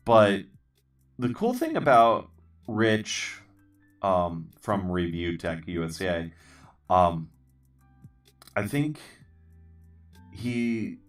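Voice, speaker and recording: A man with a very deep voice talks slowly and haltingly, full of ums and restarts, dropping dry self-deprecating jokes. He sounds a bit far from the mic, with faint music playing under him. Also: big pitch swings. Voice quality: voice others call good for voiceover. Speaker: channel host. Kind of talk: casual rambling chat while building.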